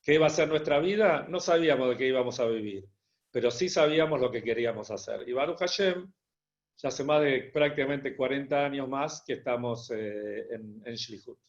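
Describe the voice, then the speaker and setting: A man's voice: mid-range voice, normal speed, lo-fi sound. A man, a rabbi, recounting his experiences hesitantly and with frequent restarts on a video call. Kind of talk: personal testimony at an online gathering.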